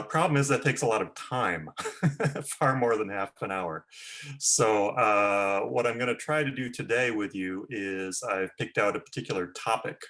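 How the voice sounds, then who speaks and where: A man's voice: on the low side, fairly bright tone. A man who is a Zen priest giving a casual Dharma talk over a video call, chatty, with frequent "uh" fillers and little laughs.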